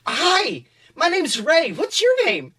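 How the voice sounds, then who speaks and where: Man putting on an excitable, squeaky little schoolboy. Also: takes it slowly, full character voice. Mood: excited. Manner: bubbly, excited. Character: small excitable eager high school boy.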